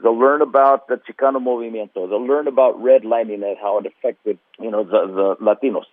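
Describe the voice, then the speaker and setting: A man, a museum's marketing director, answering radio interview questions in a halting way with many fillers and restarts. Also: medium pitch, fairly even intonation, no echo. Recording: tinny phone line.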